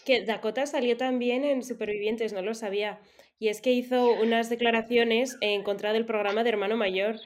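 A woman co-hosting a casual podcast, chatty and excitable. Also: on the high side, moderate pace. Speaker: podcast co-host. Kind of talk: casual chat.

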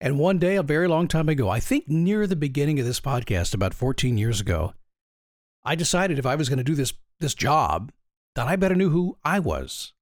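A raspy-voiced man coaching his listeners in a slightly raised voice, his pitch rising and falling with lively energy. He keeps turning to the listener with questions in a chatty motivational monologue. The sound is close and dry, with faint music underneath. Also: a little fast, dry recording. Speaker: motivational podcast host and coach.

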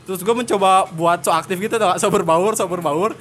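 A young man from Purwakarta, Indonesia, with a notably high voice for a male. He talks as a podcast host in loose, slangy banter. His storytelling is animated and often breaks into giggles. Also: fairly quick, a little hiss or hum. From Purwakarta, West Java, Indonesia. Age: young adult. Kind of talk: casual joking chat.

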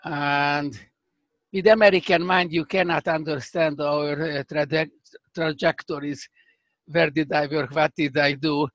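Halting older man with Hungarian accent. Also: a little high, steady tone, a bit bright, no room sound, lo-fi sound. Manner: halting, searching for words, many restarts. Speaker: professor guest. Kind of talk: remote interview conversation.

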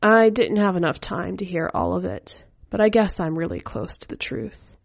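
A woman voicing a sly, coaxing female skeleton spirit in an audio story, in a hushed manner. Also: fairly low pitch, normal speed, gravelly, dry recording. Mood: frank.

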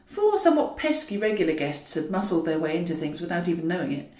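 A woman's voice: on the low side, sing-song, fast, a little mellow. A woman reads scripted fiction as a narrator, with a wry, wordy storytelling manner. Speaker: author reading own audiobook. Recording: roomy echo, distant from mic.

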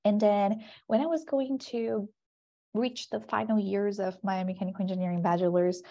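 A woman's voice: medium pitch, fairly even intonation, moderate pace, mellow, highs cut off. A woman from Brazil, a guest professor answering interview questions in a chatty way. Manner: chatty, says "like" a lot, laughs easily. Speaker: guest, aerospace engineering professor.

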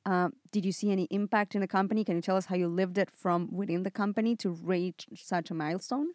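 A woman's voice: mid-range voice, steady tone, quick pace, a little mellow, no echo. Woman interviewer, close dry sound. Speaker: interviewer, host. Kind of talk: conversational interviewing.

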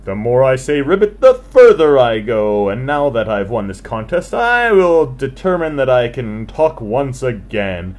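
A man's voice: medium pitch, big pitch swings, unhurried, hardly any pauses. A man voicing a big old male frog who speaks in a proud, formal way. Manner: pompous, formal.

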